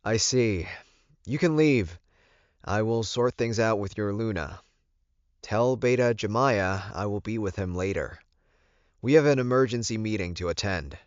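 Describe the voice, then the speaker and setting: A man's voice: fairly low pitch, a little slow, no echo, voice pushed up, natural voice. A man voicing a commanding, short-tempered male werewolf alpha in an audiobook, emphatic and authoritative. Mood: brisk, stern. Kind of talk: audiobook character voice. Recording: muffled, dull recording.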